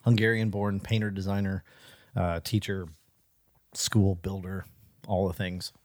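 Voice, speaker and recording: Low-voiced casual male podcast host. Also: level tone, measured pace, darkish tone, dry recording. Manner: rambling, many fillers and restarts.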